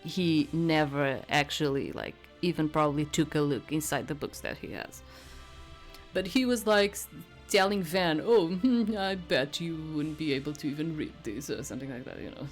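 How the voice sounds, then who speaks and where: A woman's voice: sits low, normal speed, faint music behind. A woman giving casual, rambling commentary as she plays a game, hesitant and often trailing off. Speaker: let's play host. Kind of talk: casual gameplay commentary with read-aloud dialogue.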